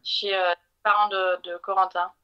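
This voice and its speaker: A woman's voice: mid-range voice, level tone, normal speed, pushed and bright, smooth, muffled recording. A woman guest telling her story in a casual interview, hesitant with many fillers. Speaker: guest, chocolatier.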